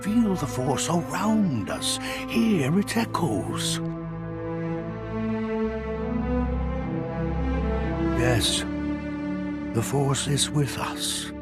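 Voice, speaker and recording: A man playing an elderly, theatrical, wise Jedi master in a video game, with dramatic pauses and drawn-out mentor phrasing. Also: a little high, very animated, over loud music. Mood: mystical, earnest.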